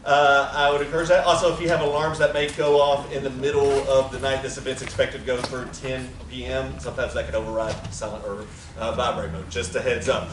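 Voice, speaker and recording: A man's voice: mid-range voice, flat delivery, brisk, hardly any pauses. A man, chatting with a live theater audience as he gives house notes and introduces the cast, laughing between remarks. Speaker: producer introducing a table reading. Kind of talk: live casual announcements to an audience. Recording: very echoey room, distant mic, audible noise.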